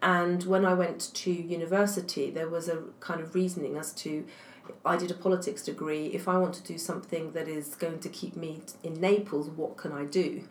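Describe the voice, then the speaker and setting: A woman's voice: sits low, little pitch movement, moderate pace. A woman, a university academic whose first language is French, answering interview questions thoughtfully with many fillers and restarts. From England. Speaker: guest academic. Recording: echoey room, faint noise.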